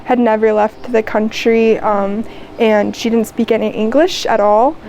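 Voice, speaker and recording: A young woman in her twenties with an American accent talks through her answers in a hesitant, casual way, filling her sentences with ums and likes. Her voice sits a little high and has a soft, mellow tone. A faint hiss runs under the recording. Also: fairly even intonation, a little slow, muffled recording. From United States. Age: 20s. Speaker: interview guest. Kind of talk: casual interview chat.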